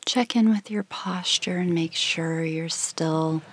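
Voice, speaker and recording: A woman leading a guided meditation in a soft, breathy, near-whispered voice, gently and without hurry, leaving long pauses. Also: low voice, a little hiss or hum. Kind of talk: guided meditation, slow calm instruction.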